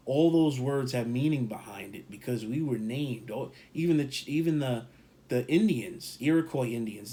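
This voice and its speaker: A man talking in a loose, rambling way. He hammers his points by saying them twice and leans hard on key words. His pitch sits in an ordinary middle range. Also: mellow. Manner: rambling, emphatic, repeats himself for stress. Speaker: podcast co-host. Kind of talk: casual opinion talk.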